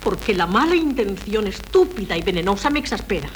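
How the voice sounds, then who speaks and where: An actress from playing a chatty, complaining wife in a 1970s radio drama, mocking and dramatic. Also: female voice, mid-range voice, very animated, brisk, slight background noise, natural voice. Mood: indignant.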